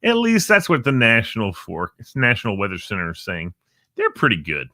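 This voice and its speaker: A man's voice: lowish voice, wildly swooping pitch, moderate pace, sharp tone, dry recording, studio quality. A man hosting a casual show, rambling playfully with jokey asides about times and weather. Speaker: show host. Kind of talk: casual live show chat. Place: Northern Virginia.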